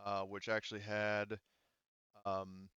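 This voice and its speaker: A man running a tabletop game as game master, explaining casually in a halting, stop-and-start way. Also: fairly low pitch, monotone, pushed and bright, clear, resonant tone, dry recording, highs cut off.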